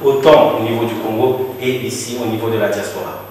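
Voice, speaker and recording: A man's voice: medium pitch, steady tone, fairly quick, a little mellow. A man who works as an entrepreneur, speaking in an earnest, assured way as an interview guest. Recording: echoey, distant, noisy.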